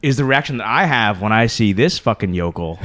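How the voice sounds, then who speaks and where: A man's voice: lowish voice, expressive, a little fast, a bit bright. A man whose first language is Vietnamese, chatting casually and animatedly, swearing freely and laughing often. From United States. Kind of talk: casual podcast chat.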